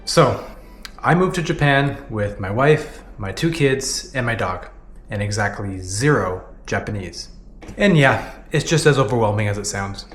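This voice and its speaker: A man's voice: on the low side, animated, measured pace, echoey room, faint music behind. A Canadian man in his thirties, earnestly narrating his own vlog in a candid way, often dropping "you know" as he talks. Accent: Canadian English. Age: 30s. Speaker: vlogger. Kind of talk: reflective personal vlog narration.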